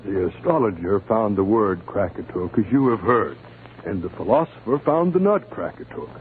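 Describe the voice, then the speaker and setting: A man's voice: on the low side, animated, normal speed, natural voice. A man narrating a scripted story in 1950 radio style, with a storyteller's dramatic delivery. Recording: tinny, noisy 1950 radio broadcast.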